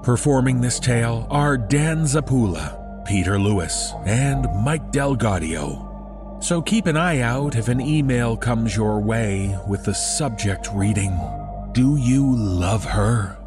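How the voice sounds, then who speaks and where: A man hosting a horror fiction show, reading a scripted story introduction in a measured, theatrical way. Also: medium pitch, animated, over music. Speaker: horror podcast host introducing stories.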